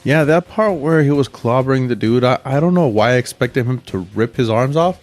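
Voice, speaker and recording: A man's voice: medium pitch, brisk, a little mellow, soft background music. A man co-hosting a podcast, discussing movies in an agreeable, conversational way. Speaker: podcast co-host. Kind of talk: casual movie review chat.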